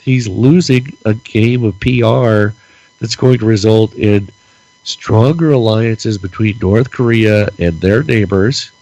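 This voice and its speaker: Low-voiced man ranting, voice going hoarse. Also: takes it slowly, dry recording, low-quality recording. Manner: animated, repeats words while ranting. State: losing his voice, stuffy nose. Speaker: podcast co-host. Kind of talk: casual political chat.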